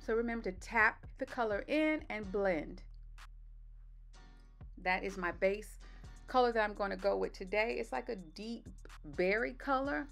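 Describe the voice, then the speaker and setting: A woman with a slightly raspy voice doing a relaxed step-by-step voice-over for her makeup tutorial. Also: mid-range voice, measured pace, long pauses, soft background music. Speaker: beauty vlogger. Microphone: voice-over mic.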